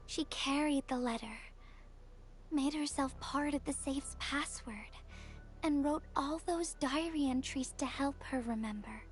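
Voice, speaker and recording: A woman playing a gentle, wistful young courier in a video game, speaking softly and breathily with a tender, reflective manner. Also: high-pitched. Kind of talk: video game story dialogue.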